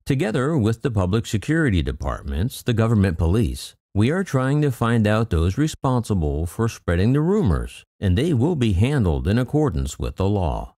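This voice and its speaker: A man's voice: lowish voice, sing-song, moderate pace, few pauses, mellow, dry recording, clean studio sound. A man hosting an aviation channel, reading news reports aloud in a scripted commentary and adding wry, skeptical asides. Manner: reads news quotes, then adds wry skeptical asides.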